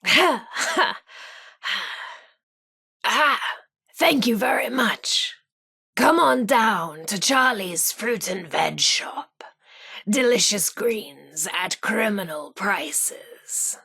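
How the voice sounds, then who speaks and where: Casting: chirpy, coy British female radio co-host, vintage patter, breathy and slightly creaky, unhurried game role. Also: mid-range voice, animated, sharp tone, heavily acted. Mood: flustered, delighted.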